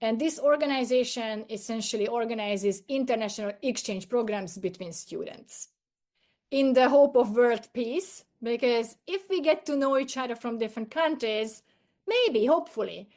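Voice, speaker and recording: A middle-aged woman with a fairly high voice and a Hungarian accent. She speaks as a podcast guest, sharing personal stories in a conversational, expressive way. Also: moderate pace. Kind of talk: personal storytelling in an interview.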